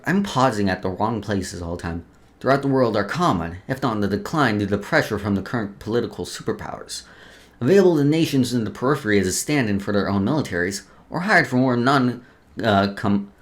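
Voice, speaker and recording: A man doing casual let's play commentary, reading game lore aloud with stumbles, informal swearing and joking asides. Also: fairly low pitch. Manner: stumbles over read text, joking asides. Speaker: let's player.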